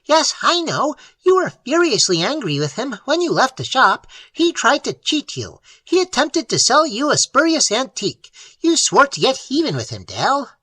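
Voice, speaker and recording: A reader voicing a small, malicious male demon familiar who taunts his master in a shrill, gleeful, mocking way. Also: huge pitch swings, normal speed, hardly any pauses, pushed and bright, dry recording, full character voice, rough recording.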